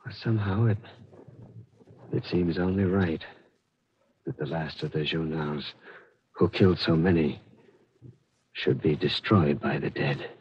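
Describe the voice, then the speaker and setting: A man's voice: a bass voice, a little slow, long pauses, breathy edge, exaggerated voice. A man playing a grave, somber character in a 1940s radio murder mystery, on a dull old recording. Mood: grave, solemn. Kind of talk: 1940s radio drama acting.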